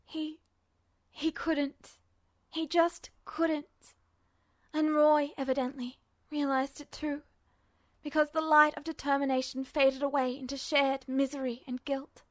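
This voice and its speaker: Female story narrator, high voice, dramatic fiction reading, dry close sound. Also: level tone, unhurried, no echo.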